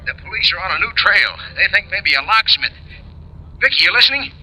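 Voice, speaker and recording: An actor playing an anxious, protective husband on the telephone in a 1950s radio drama, earnest and urgent. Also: male voice, high-pitched, big pitch swings, brisk, pushed and bright, full character voice. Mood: alarmed, urgent. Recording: noisy old radio recording.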